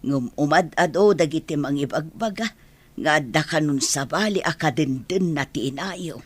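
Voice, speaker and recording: A woman playing a gentle, worried old grandmother in a radio drama, soothing and admonishing her family. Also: sits low, normal speed, husky, no room sound.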